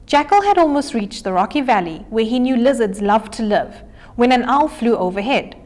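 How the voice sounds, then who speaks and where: Female storybook reader, fairly high voice, animated delivery for children. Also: normal speed.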